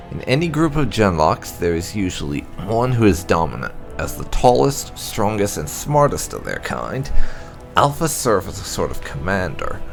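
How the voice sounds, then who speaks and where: A man's voice: low voice, creaky. A man reading game lore entries aloud as scripted narration. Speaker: gaming channel host.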